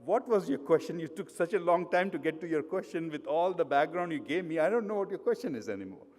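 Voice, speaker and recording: A middle-aged man from India, with an Indian accent, speaks at a hotel conference. His voice sits somewhat high for a man. He talks loosely, with frequent "uh"s and restarts, and long reverb from the hall hangs behind his words. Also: animated, fast talker, darkish tone. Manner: conversational, digressive, many fillers and restarts. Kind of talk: conference presentation.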